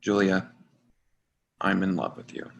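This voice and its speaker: A man playing an awkward, lovestruck young man in a play reading, speaking haltingly and hesitantly. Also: sits low, expressive, gravelly, lo-fi sound, background noise, exaggerated voice. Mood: earnest, tender. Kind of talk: readers theatre play reading.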